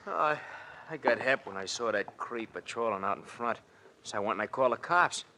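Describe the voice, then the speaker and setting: An actor playing a slangy, excitable, streetwise tough guy in a 1940s radio crime drama. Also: mid-range voice, brisk. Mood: cocky. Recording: noisy old radio recording with clicks.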